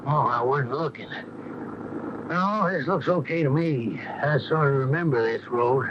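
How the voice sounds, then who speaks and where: An actor playing a pragmatic, easygoing small-town mayor in a radio mystery drama, with a colloquial, placating way of talking. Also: male voice, mid-range voice, unhurried, lightly acted. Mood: doubtful. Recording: muffled, faintly noisy 1980 AM radio broadcast.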